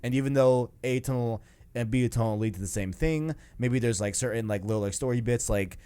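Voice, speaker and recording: A man's voice: medium pitch, brisk, warm tone, clear, resonant tone. A man hosting a video game discussion show, chatting casually in a rambling way full of verbal tags like "you know". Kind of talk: casual chat.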